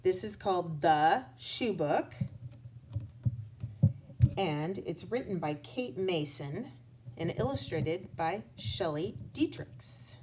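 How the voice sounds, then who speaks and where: A woman reading a children's storybook aloud as a lesson, expressively and deliberately, pausing to address young listeners. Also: on the low side, expressive, noisy background.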